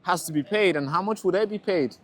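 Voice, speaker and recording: A man's voice: sits high, brisk. A young man from Tanzania debating a passerby in the street, pushing his point and repeating words as he presses it. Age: young adult. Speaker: street interviewer and rally speaker.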